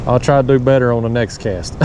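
A man in his thirties from Tennessee with a Southern drawl, casually narrating a fishing trip from his kayak and getting loud and excited over each catch. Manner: folksy, excitable drawl, gets loud and high when a fish bites. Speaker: fishing channel host. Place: kayak on a lake, outdoors. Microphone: action camera mounted on the kayak. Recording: outdoor action-cam audio with noise.

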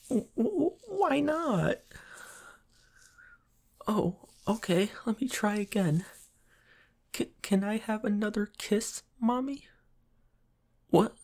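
A man playing a shy, flustered, submissive young pop star boyfriend in a roleplay audio, with soft breathy, stammering, hesitant speech. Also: high-pitched, exaggerated voice. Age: young adult. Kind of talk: roleplay ASMR audio acting.

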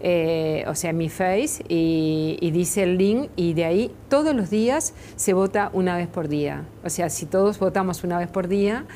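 Hesitant Uruguayan woman, low mellow voice. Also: moderate pace, few pauses, a little hiss or hum. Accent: Uruguayan Spanish. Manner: hesitant, many fillers and restarts. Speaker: interview guest, makeup artist. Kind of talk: TV studio interview. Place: TV studio set, seated in armchair.

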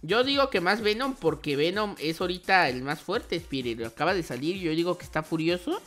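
A man's voice: high-pitched, lively intonation, fairly quick, fairly bright tone. A young man doing casual, chatty gaming commentary, playful and talking straight to his viewers. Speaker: host of a gaming channel. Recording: music behind the voice. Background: music.

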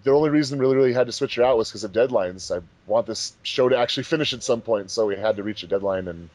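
Low-voiced man in casual commentary. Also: fast, darkish tone. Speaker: fandub director and commentator.

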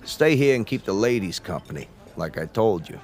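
An American man playing a falsely genial, menacing gangster boss in a radio drama, with a slangy, smooth-talking tough-guy delivery. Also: lowish voice, very animated, moderate pace, noisy background. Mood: genial, commanding.

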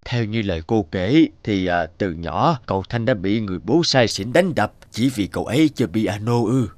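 A man playing a calm, concerned older doctor in an animated drama, measured and probing. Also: lowish voice, dry recording, natural voice. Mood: serious.

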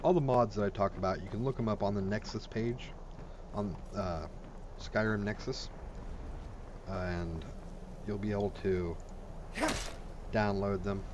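A man's voice: fairly low pitch, measured pace, pauses often, muffled recording. A man giving casual let's play commentary, informal and crude, thinking aloud as he goes. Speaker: gaming video host. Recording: game ambience and noise behind voice. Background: video game sounds.

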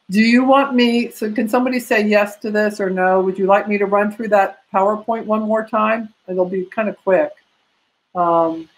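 A woman's voice: on the low side, low-quality recording. An older woman from the United States teaching a live online massage class from a room at home, answering questions in a practical, chatty way with many fillers. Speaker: prenatal massage instructor. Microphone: laptop webcam on a video call.

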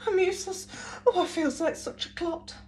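A woman voicing a sensitive male giraffe from a storybook, with heartfelt, drawn-out words. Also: very high-pitched, a little mellow, a little hiss or hum, pitched above the performer's own voice. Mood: dejected. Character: sensitive young male giraffe, openly emotional.

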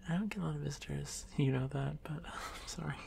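Gravelly, shy monster boy, acted by a man. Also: on the high side, a little fast, a little hiss or hum. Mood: self-conscious, anxious. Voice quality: gravelly, creaky. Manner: hesitant, stammering, soft-spoken, often dropping to whisper. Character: shy, nervous young male drider, lonely and apologetic. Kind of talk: ASMR fantasy roleplay addressed to the listener.

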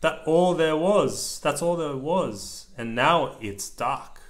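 A man's voice: a little high, expressive, slow pace. Man reading a picture book aloud. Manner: simple explanations and pointing out pictures for a small child. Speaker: parent reading aloud to a young child. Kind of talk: read-aloud of a children's picture book, with short explanatory asides. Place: indoors at a table over the open book.

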